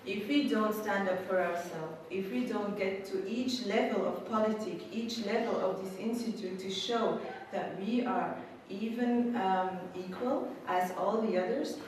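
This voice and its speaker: A woman's voice: medium pitch, a little slow, a little mellow. Earnest woman in an echoey hall. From Belgium. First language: Urdu. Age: 30s. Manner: earnest, with frequent ums and self-corrections. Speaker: political candidate. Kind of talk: campaign speech. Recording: echoey hall from far off, noisy.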